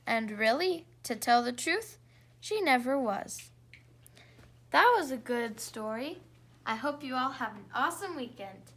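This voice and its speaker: A woman's voice: high-pitched, expressive, unhurried, fairly bright tone. A woman hosting a story time, reading a bedtime story aloud for children. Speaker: children's story reader and host. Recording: faint background noise.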